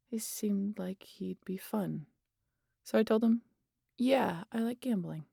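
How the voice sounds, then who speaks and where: A woman playing a wry, guarded vampire who teases and confides in an intimate audio roleplay, casual and sweary, often sinking to a breathy near-whisper. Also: lowish voice, mellow, no room sound, studio quality, exaggerated voice. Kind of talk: intimate audio roleplay monologue addressed to the listener.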